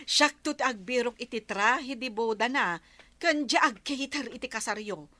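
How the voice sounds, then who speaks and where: A woman's voice: a little high, animated, moderate pace, sharp tone, no echo. An actress with a Filipino Ilocano accent playing a pushy, nagging village woman in a radio comedy drama. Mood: teasing, nosy.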